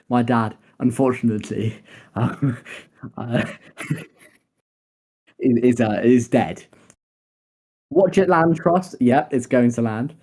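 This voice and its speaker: A young man hosting a mock launch webcast, joking and often pausing with fillers. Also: medium pitch, a little mellow. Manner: joking, hesitant with many fillers. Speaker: webcast host.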